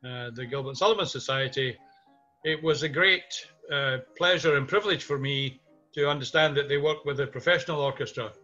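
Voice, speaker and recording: Bright-voiced older Scottish man on a muffled call. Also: medium pitch, brisk. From Scotland. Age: 60s or older. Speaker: interviewee, community theatre music director. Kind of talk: reminiscing in a video interview. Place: small home room indoors. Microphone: webcam video call. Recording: muffled video call audio, music underneath.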